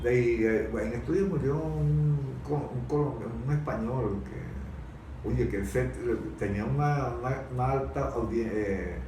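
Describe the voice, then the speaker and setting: A man in a conversational debate, speaking haltingly and repeating words as he searches for phrasing. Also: mid-range voice. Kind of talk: conversational political-historical debate. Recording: very echoey room, distant mic, faint noise.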